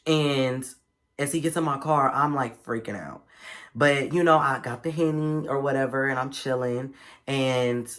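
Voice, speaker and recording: A young man with a stuffy, congested voice, telling a story in a casual vlog in an animated, slangy and playful way. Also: mid-range voice, measured pace. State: congested from a cold. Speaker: vlogger.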